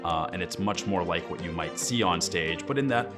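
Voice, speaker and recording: Very low-voiced man explaining his work. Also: moderate pace, over loud music. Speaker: audio production staff member. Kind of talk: explanatory interview talk.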